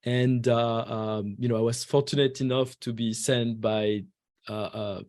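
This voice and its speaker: A man in his forties from France with French as his first language, a podcast guest who talks haltingly with many fillers and restarts. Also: mid-range voice, fairly even intonation, a little mellow, no room sound, clean studio sound. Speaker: guest investor. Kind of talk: podcast interview.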